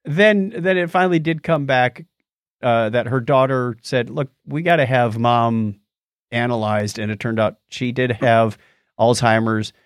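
Male podcast co-host, medium pitch, casual storyteller with conversational asides, dry sound. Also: unhurried, smooth, no echo. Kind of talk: casual chat, recounting a documentary.

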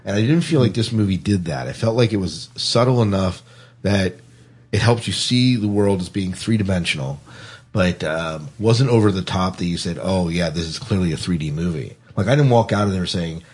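A man's voice: sits low, moderate pace, a little mellow, husky. A man hosting a podcast, casually discussing a film and often repeating phrases as he works out his point. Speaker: podcast host.

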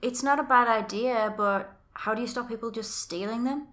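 A woman co-hosting a podcast, chatting casually and explaining things in a measured way. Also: on the high side, fairly bright tone. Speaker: podcast co-host. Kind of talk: casual chat.

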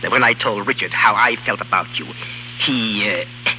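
An actor playing a doting, tipsy older baron who stammers, repeats himself and rambles in 1930s radio drama style. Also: male voice, fairly low pitch, moderate pace, lightly acted. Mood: tipsy, jovial. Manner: stammering, repetitive, chuckling. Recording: dull, hissy 1930s radio recording.

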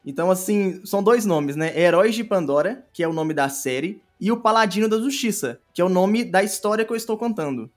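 A Brazilian man, a podcast guest explaining his creative work in an informal, halting way. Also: sits high, expressive. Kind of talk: casual interview chat. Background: faint music.

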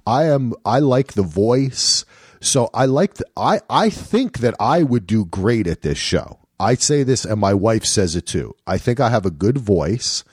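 A man in his fifties with a low, deep radio-style voice, chatting and rambling through restarts as a podcast co-host. The sound is dry and close. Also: very animated, unhurried, slightly rough, dry recording. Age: 50s. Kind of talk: casual chat.

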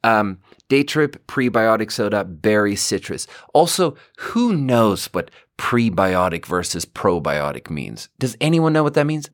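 A man's voice: on the low side, very animated, measured pace, hardly any pauses, no room sound. A man hosting a casual solo podcast, talking in a rambling way, correcting himself and chuckling now and then, close to the mic in a small home studio. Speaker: podcast host.

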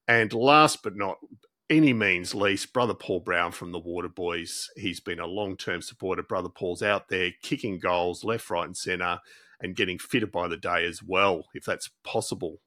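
A man's voice: lowish voice, sharp tone, dry recording, clean studio sound. A man hosting a casual interview podcast, effusive and affirming as he talks. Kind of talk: casual interview and show sign-off.

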